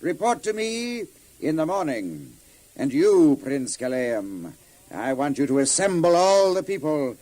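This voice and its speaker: A grandiose warlord king in an audio Bible drama. He speaks slowly and imperiously, with big swings in pitch on a slightly high male voice. He sounds proud, ambitious and scheming. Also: very animated, dark tone. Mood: commanding.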